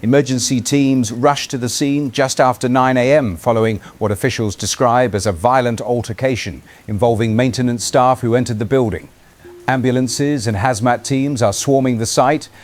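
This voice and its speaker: A man's voice: mid-range voice, moderate pace, a little mellow, lightly acted. A man playing a serious radio news anchor in an audio drama, delivering breaking news in a composed, formal, urgent way. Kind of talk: scripted news bulletin. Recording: light background ambience noise.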